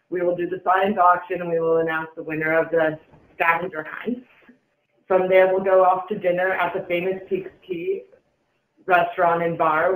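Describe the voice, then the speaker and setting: A man's voice: fairly high pitch, fairly bright tone. A man giving an informal conference presentation as an event organizer, speaking in a meeting room. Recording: roomy, echoey conference call room.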